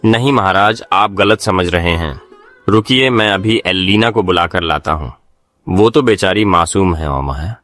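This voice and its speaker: A man's voice: low-pitched, measured pace, fairly bright tone, dry recording, faint music behind. A man playing an anxious, pleading young villager in a cartoon tale, with a flustered manner.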